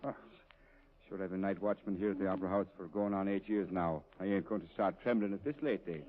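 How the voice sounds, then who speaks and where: A man's voice: low voice, fairly even intonation, fast talker, lightly acted. An actor playing an older Irish night watchman at an opera house, with a thick put-on stage Irish brogue, folksy and chatty. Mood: easygoing. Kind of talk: 1940s radio acting. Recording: tinny old radio broadcast.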